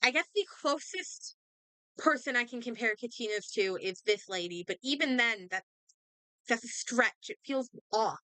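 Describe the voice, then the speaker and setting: A young woman with a sore throat talks her way through a game in a slow, thinking-aloud ramble. Her voice sits a little high and bright, with a smooth, clean tone. The sound is dry and close, with no room around it. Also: lively intonation, dry recording, rough recording. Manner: chatty, thinking aloud, trailing off. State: hiccups. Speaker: gaming streamer. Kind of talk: casual commentary while playing a game.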